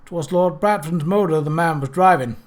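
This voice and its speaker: A man's voice: on the high side, fairly quick, pitched above the performer's own voice, close to an everyday voice. A man reads as a competent, watchful policeman in a storybook. He speaks evenly and firmly, setting things out in a methodical, matter-of-fact manner. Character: level-headed, vigilant male police officer.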